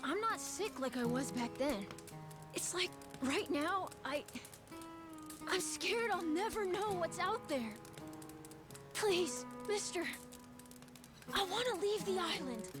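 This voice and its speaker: A woman's voice: very high-pitched, lively intonation, takes it slowly, long pauses, exaggerated voice. A woman voicing a restless, eager young island boy with an American accent and casual speech in a video game cutscene. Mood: glum, restless, then pleading. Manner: casual, youthful talk. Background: game music.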